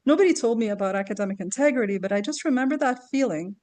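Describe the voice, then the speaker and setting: Talkative woman from kuwait, bit high voice, laughs a lot telling stories. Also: lively intonation, racing, darkish tone. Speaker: guest, educator and researcher. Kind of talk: casual podcast conversation.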